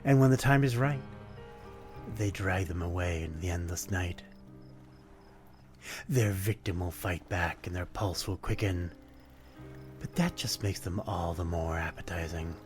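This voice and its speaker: Man playing a slow, brooding, courtly vampire. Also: deep voice, faint music behind. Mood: quietly menacing. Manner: old-fashioned, polite, brooding, addressing one listener intimately. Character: melancholy, courtly male vampire stranger, softly predatory. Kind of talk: ASMR roleplay, dramatic monologue.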